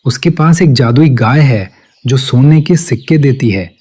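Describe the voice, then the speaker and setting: A man's voice: medium pitch, animated, unhurried, mellow, slight background noise, natural voice. A man voicing a deferential pigeon in a children's fable, matter-of-fact and respectful.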